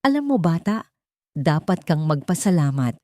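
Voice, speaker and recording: A woman's voice: low-pitched, big pitch swings, moderate pace, a little mellow, no room sound, studio quality. A woman voicing a cold, calculating male crime boss in an audio drama, menacing and contemptuous. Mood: gloating menace.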